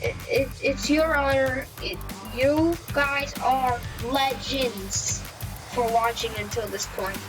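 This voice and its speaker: A woman speaking informally to viewers as a video creator, earnest and halting, often repeating her words. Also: high voice, steady tone, music in the background, rough recording. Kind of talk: informal thank-you address to viewers.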